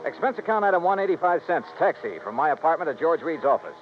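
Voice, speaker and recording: A man's voice: a little high, sing-song, fast talker, over music. A male actor playing a wry, cool-headed insurance investigator with an American accent, casual and skeptical in a 1950s radio detective style. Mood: dry, brisk narrating. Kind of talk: 1950s radio detective acting and first-person narration. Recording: muffled, noisy vintage radio recording.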